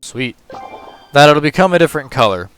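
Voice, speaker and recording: A man with a hoarse, tired voice, casually talking through a puzzle game move by move as a gaming channel host. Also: on the high side, fairly quick, no echo, soft background music. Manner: relaxed, talks through moves step by step. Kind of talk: casual gameplay commentary.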